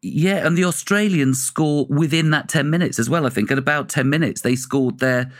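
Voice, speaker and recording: A man's voice: on the high side, normal speed. Chatty man co-hosting a sports podcast. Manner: chatty, laughs often, restarts sentences. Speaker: podcast co-host. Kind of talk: casual chat.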